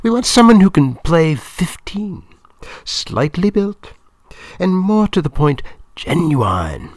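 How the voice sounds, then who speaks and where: A pushy, businesslike woman in casting as a male narrator puts her on, pitched high and swinging widely for emphasis. She is eager and persuasive in an audiobook story, recorded dry and close. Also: big pitch swings, slow, no room sound, full character voice. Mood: businesslike, insistent. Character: brisk, persuasive female casting director, eager and insistent.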